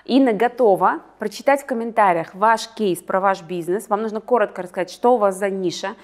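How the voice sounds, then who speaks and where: A woman's voice: medium pitch, brisk. A woman hosting an interview, engaged with her guest and speaking directly to viewers with calls to action. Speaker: host. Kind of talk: interview host chat.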